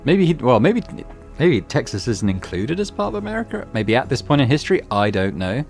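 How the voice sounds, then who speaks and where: Lively musing let's-play host. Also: male voice, fairly quick, soft background music. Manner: musing, thinking aloud with lively pitch. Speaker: gaming channel host. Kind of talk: casual let's-play commentary.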